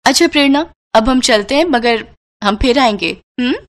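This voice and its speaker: A woman's voice: fairly high pitch, expressive, moderate pace, lightly acted. A woman voicing a wealthy, caring young friend, chatty and emphatic, in a cartoon moral story. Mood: cheerful.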